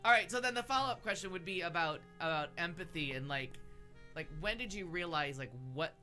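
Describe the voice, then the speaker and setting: Bright, punchy American man hosting casually. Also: a little high, expressive, fairly quick, faint music behind. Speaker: talk show host. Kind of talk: casual comedic chat and questioning.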